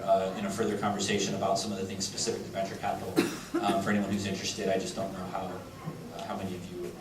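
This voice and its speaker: A man on a business panel, speaking hesitantly with many restarts. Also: fairly low pitch. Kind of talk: panel discussion. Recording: echoey hall, distant mic, noise.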